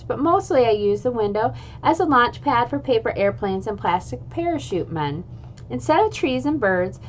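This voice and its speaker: A woman reading a children's book aloud in an expressive, playful way, adding little sound effects. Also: fairly high pitch, slight background noise. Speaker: reader.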